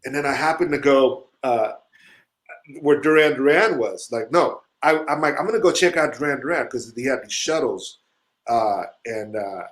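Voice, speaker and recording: A man's voice: medium pitch, moderate pace. Profane, rambling male storyteller. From Chile. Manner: rambling, swearing, animated storyteller. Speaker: musician telling stories on a show. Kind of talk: casual storytelling chat.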